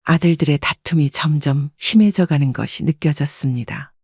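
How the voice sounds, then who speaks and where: Calm, breathy woman narrator. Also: low voice, no room sound, clean studio sound. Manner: calm, measured. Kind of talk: first-person story narration.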